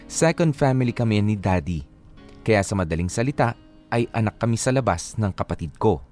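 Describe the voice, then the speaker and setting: A man narrating a scripted first-person radio drama in measured, reflective Tagalog storytelling, as a grown person looking back on childhood. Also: on the low side, animated, darkish tone, faint music behind, close to an everyday voice. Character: grown narrator recalling a childhood in a second family.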